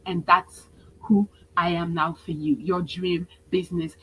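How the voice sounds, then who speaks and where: A woman's voice: lowish voice, little pitch movement, unhurried, fairly bright tone, slightly rough, rough recording. A thirty-year-old woman, a business coach giving a motivational webinar and repeating phrases for emphasis. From France.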